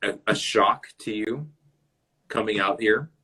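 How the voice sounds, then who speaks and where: A man with a very deep voice hosts a casual interview over a live video call. His tone is husky yet sharp and forward. He rambles a little and restarts his sentences as he talks. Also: slow, poor audio. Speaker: interview host.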